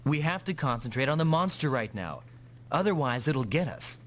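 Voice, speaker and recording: An actor playing a calm, determined male mech pilot in an anime-style English video game dub. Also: medium pitch, normal speed, slight background noise. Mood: calm, matter-of-fact.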